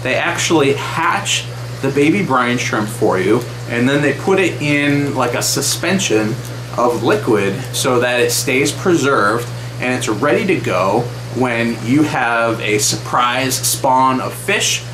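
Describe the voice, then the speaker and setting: A man in his thirties talks straight to the camera in an easy, upbeat vlog manner, dropping plenty of "uh"s. His mid-range voice bounces around a small, roomy space. A steady hum sits clearly underneath. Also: medium pitch, slow. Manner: chatty, enthusiastic, many fillers. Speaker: aquarium channel host. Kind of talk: casual vlog update. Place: small wood-paneled room indoors.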